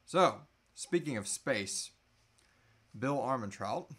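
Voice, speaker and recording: A man in his thirties hosting a casual live question-and-answer chat, often halting and trailing off mid-thought. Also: medium pitch, animated, a bit bright. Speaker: host answering audience questions. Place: small indoor room.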